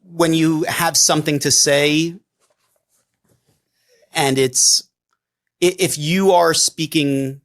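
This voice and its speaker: A middle-aged man with a New York accent, chatting casually as a podcast co-host, pausing and restarting often. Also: a little high, fairly even intonation, a bit bright. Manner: halting, many restarts and fillers. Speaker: defense attorney co-host.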